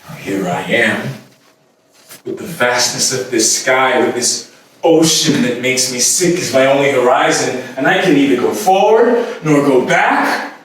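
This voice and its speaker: A male actor performs an anguished man consumed by obsessive love in a staged dramatic monologue. His delivery is intense and halting, with pitch that swings widely. The voice comes from far off in a very echoey room, with faint noise behind it. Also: lively intonation, a bit bright. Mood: weary, bitter. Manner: intense, halting, with repetitions and sudden swells.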